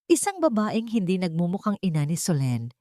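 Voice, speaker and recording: Low-voiced woman narrating a suspense story. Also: sing-song, fairly quick, mellow, no echo, studio quality. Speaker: audiobook narrator. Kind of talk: dramatic scripted first-person story narration.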